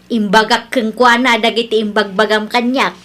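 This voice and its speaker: Very high-voiced, admonishing village mother played by an actress. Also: steady tone, moderate pace, a bit bright, lightly acted. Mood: matter-of-fact. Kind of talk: Ilocano radio comedy drama acting.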